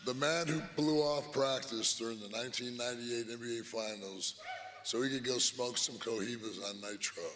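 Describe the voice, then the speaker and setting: A hoarse man who has lost his voice, giving an induction speech with dry jokes. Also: medium pitch, fairly even intonation, moderate pace. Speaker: hall of fame inductor. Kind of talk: ceremony speech. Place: large arena hall. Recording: hall reverb, faint crowd ambience.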